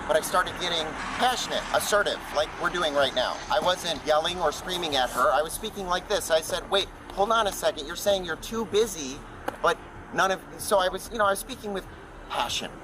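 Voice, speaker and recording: An agitated man ranting at a police officer outdoors, talking over him, picked up by a body camera. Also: high voice. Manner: agitated, rambling, talks over others. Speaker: arrested member of the public. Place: outdoors by a building on a street. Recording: distant bodycam with street noise.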